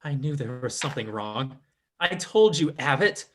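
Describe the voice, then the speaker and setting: A man's voice: medium pitch, lively intonation, normal speed, close to an everyday voice. A man playing an indignant crooked spiritualist in an old-time radio drama. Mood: agitated, accusing. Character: indignant male fake spiritualist, caught and protesting.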